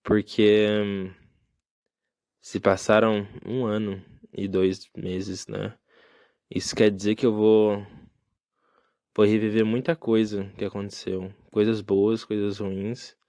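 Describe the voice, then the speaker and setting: A man's voice: fairly low pitch, level tone, slow pace, warm tone, no echo, studio quality. A young man hosting a solo podcast, talking in a casual, reflective and rambling way with many fillers. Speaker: podcast host. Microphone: phone.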